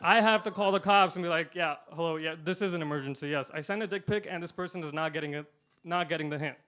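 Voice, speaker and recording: Higher-voiced male stand-up comedian. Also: quick pace. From India. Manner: deadpan punchlines, shifting loud and soft. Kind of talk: stand-up set.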